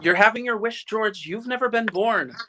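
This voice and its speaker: A man's voice: high-pitched, moderate pace, sharp tone, slight background noise. A man playing an innocent, centuries-old guardian angel with a quaint, earnest manner in a live radio play reading. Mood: guileless.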